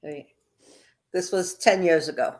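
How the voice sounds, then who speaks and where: A woman's voice: on the low side, unhurried, darkish tone. A woman chatting on a livestream, asking her friends question after question and laughing often. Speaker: livestream co-host. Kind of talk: casual chat.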